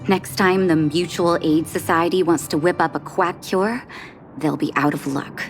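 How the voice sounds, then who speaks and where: An actress playing a calm, resolute doctor in a video game, speaking in a composed, measured and firm way. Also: female voice, fairly low pitch, soft background music, close to an everyday voice. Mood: calm resolve. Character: calm, resolute, dutiful woman doctor of the underworld.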